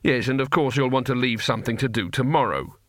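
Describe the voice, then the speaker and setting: A man's voice: mid-range voice, fast talker, dry recording, studio quality, close to an everyday voice. A man voicing a nervous, doubtful wizard in an audiobook story, hesitant and wryly sarcastic as he questions things. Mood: uneasy, sarcastic.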